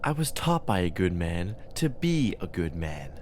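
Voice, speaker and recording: An actor playing a bitter, vengeful undead man, delivering a halting, coldly menacing monologue in an audiobook drama. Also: sits low, sing-song, slight background noise. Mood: bitter anger. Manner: deliberate, halting, seething villain monologue.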